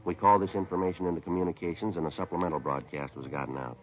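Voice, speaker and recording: An actor playing a matter-of-fact police detective in a 1950s radio drama, clipped, deadpan and businesslike. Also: male voice, sits low, very fast, faint music behind, natural voice. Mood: deadpan narrating. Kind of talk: terse police procedural narration and dialogue, 1950s radio. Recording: dull vintage 1950s radio recording.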